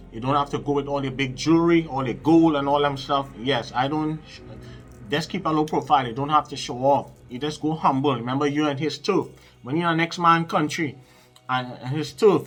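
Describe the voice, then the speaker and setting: Middle-aged man, channel host, bright forceful voice, preachy direct-to-camera advice, home room, faint music under. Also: a little high. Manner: emphatic and preachy, repeats words, addresses viewers directly. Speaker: psychic advisor and channel host. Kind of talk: casual advice monologue to camera. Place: small room at home with decorated shelves.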